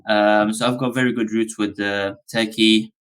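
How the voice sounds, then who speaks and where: Low-voiced man, relaxed and rambling. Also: moderate pace, clean tone. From Afghanistan. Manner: relaxed, rambling, many ums and uhs. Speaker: business founder guest. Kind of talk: podcast interview.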